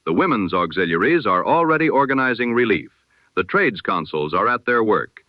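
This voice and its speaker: A man reading the news in the measured, solemn cadence of an old-time radio announcer. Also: on the low side, big pitch swings, no room sound, muffled recording. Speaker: newsreader.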